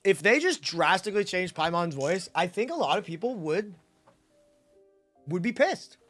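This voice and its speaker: A young American woman gives casual livestream commentary on a game. Her voice is bright and forceful, with animated swings in intonation and a playful, slangy delivery. Faint game music runs underneath. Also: lowish voice, normal speed. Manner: playful, slangy, reacting to chat. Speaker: game streamer.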